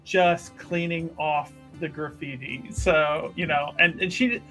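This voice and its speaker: A man playing a tabletop roleplaying game, improvising his character's actions in a halting way and repeating phrases for emphasis. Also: on the high side, fairly even intonation, sharp tone, poor audio. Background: background music.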